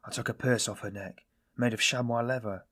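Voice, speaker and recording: A man playing a weary, self-loathing man in audiobook dialogue, confessing in a brooding, bitter, halting manner. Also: medium pitch, steady tone, bright, forceful tone. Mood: musing, subdued.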